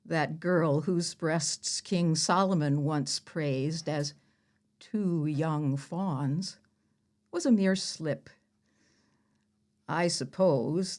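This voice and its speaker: Woman playing a slow, low-voiced, sardonic ancient heroine. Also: darkish tone. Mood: wry. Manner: measured, mocking, deliberate storytelling. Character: proud, sardonic biblical heroine who slew an enemy general. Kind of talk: theatrical audio-play monologue.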